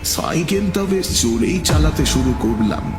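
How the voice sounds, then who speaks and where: A man narrating a scripted first-person story in a measured, dramatic storytelling manner. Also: fairly high pitch, big pitch swings, music in the background.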